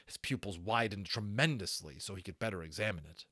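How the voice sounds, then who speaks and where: Male audiobook narrator, low pitch, expressive storytelling, casual asides, dry close sound. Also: fairly quick, no room sound.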